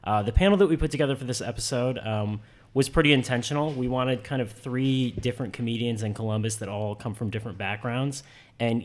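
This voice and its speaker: A young man hosting a comedy panel discussion, hesitant with many filler words. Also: mid-range voice. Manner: hesitant, lots of ums. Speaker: podcast host.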